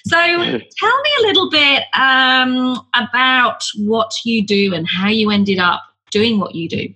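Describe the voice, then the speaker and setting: A woman's voice: fairly high pitch, takes it slowly, breathy edge. A woman hosting a podcast interview, asking curious, friendly questions and sharing her own stories. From not Australian, lives in Australia. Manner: warm, curious questioning with light laughs. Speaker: podcast host.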